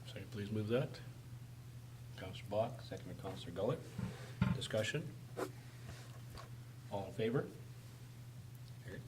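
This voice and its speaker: A man with a hoarse, raspy voice chairing a formal council meeting, calling motions briskly in a council chamber. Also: on the low side, lively intonation. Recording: noisy room recording.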